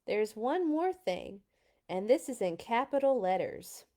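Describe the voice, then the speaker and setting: A woman's voice: a little high, sing-song, measured pace, dark tone. A woman voicing a bossy, eager older sister, a young girl who gives emphatic instructions in a storybook read-aloud.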